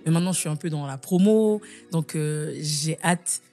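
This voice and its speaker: A young woman from Guiana, a singer answering interview questions casually, pausing often on fillers and restarting her sentences. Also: sits low, warm tone, soft background music. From French Guiana. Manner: hesitant, many fillers and restarts. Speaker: singer, guest.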